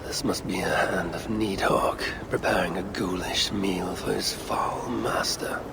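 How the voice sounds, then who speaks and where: An actor playing a stern, determined warrior in a Norse fantasy video game, speaking in a formal, serious way. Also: male voice, deep voice, steady tone, unhurried, creaky, in a whisper, exaggerated voice. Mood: wary. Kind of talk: video game character acting.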